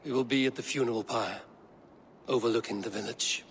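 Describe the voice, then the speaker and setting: A man's voice: mid-range voice, steady tone, fairly quick, natural voice. A man acting a steadfast Viking warrior and counsellor in a video game cutscene, grave, terse and commanding. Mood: grave, composed. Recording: clearly noisy background.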